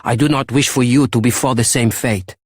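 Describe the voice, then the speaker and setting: A man's voice: mid-range voice, fairly even intonation, a little fast, no echo, studio quality, natural voice. An actor playing an anxious, well-meaning townsman giving earnest warnings in a video game. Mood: worried warning. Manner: earnest, urgent, cautioning. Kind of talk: video game character acting.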